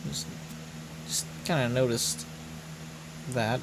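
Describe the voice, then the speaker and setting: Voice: mid-range voice, fairly even intonation, slow, background noise. A game streamer doing a voiced playthrough with commentary, with game music behind the voice. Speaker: game streamer reading dialogue aloud.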